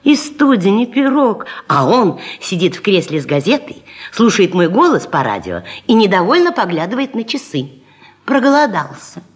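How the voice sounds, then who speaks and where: A woman presenter with a husky voice, delivering a humorous, theatrical radio monologue with ironic, rhetorical emphasis. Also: mid-range voice, wildly swooping pitch, moderate pace. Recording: 1970s radio recording, faint music.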